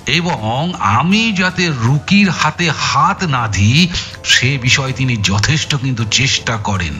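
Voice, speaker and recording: An actor playing an exhausted, frustrated police detective in an audio thriller, with a halting, emphatic way of telling things. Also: male voice, mid-range voice, sharp tone. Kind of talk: dramatized audio thriller acting.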